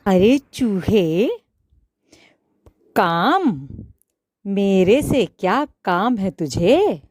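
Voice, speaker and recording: A woman's voice: lowish voice, slow pace, big-room sound. A woman doing an irritable tailor. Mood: irritated. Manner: curt and irritable. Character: irritable male tailor, busy and dismissive.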